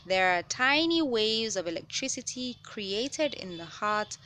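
A woman reading a children's science picture book aloud, with measured, clear phrasing for young listeners. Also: mid-range voice, lively intonation, bright, forceful tone. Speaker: children's read-aloud host. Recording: noticeable background noise.

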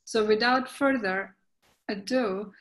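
Woman playing jolly outspoken aging mother, verse play narrator, very slow, halting, stumbles over words. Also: medium pitch, natural voice. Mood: storytelling. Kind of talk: scripted verse play reading. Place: indoors on a video call. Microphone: video call.

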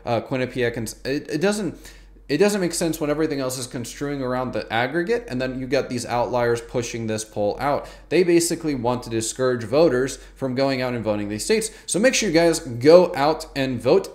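A man's voice: mid-range voice, darkish tone. A man in his thirties giving emphatic, colloquial market commentary to camera as a YouTube host, often repeating words for stress.

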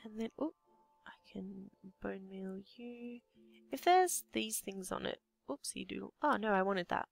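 A young Australian woman with a slightly croaky voice, half-whispering to herself as she commentates her building game. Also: medium pitch, measured pace, no room sound, soft background music. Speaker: let's play gamer. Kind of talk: casual gameplay commentary.

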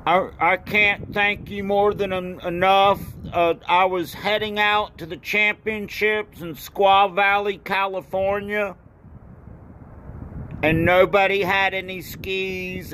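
Older man, phone video outdoors; slow, halting, filler-heavy delivery, slightly raised pitch. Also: flat delivery, fairly bright tone, a little hiss or hum, rough recording. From North Carolina. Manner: rambling and halting, with many fillers and repeated thanks. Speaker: ski racer and video maker. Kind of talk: casual home video. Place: outdoor porch. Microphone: phone camera at arm's length.

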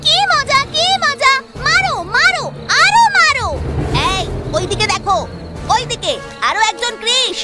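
A woman voicing an excitable, chirpy little village child in a children's cartoon. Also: very high-pitched, unhurried. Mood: gleeful. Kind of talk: Bengali children's cartoon dialogue. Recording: music behind the voice.

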